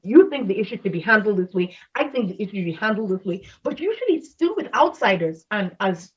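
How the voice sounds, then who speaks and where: A woman speaks reflectively as a guest, turning to her spouse now and then. Her voice is a bit husky at mid pitch, and the recording sounds dull and lo-fi. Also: very animated, quick pace. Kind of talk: casual conversation.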